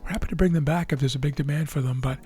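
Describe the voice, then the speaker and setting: A man with a raspy, tired voice, hosting a trading video and explaining live charts in a measured way with frequent fillers and restarts. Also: on the high side, warm tone, soft background music. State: losing his voice.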